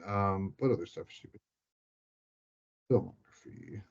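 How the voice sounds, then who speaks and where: A man's voice: deep voice, very animated, a little slow, vocal fry, a little mellow, husky, dull audio. A man chatting casually and informally about films, thinking aloud as he goes.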